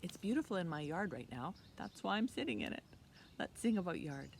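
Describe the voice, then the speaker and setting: A woman's voice: on the low side, big pitch swings, brisk, vocal fry. A woman in her fifties hosts an interactive preschool storytime, speaking in simple short phrases and asking children encouraging questions. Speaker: children's library storytime host. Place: outdoors in a leafy backyard. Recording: outdoor ambient noise.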